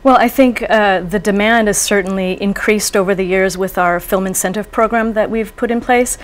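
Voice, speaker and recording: Mid-pitched woman in an interview. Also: fairly even intonation, moderate pace. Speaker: casting director guest. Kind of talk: conversational interview answers. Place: TV studio.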